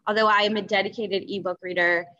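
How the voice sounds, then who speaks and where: A young adult woman talks casually about a book as a co-host. She speaks at a middling pitch and often pauses to find her phrasing, padding it with "you know." She is indoors on a video call. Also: steady tone, bright, forceful tone, lo-fi sound. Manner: hesitant, searching for words, frequent "you know". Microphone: video call computer mic.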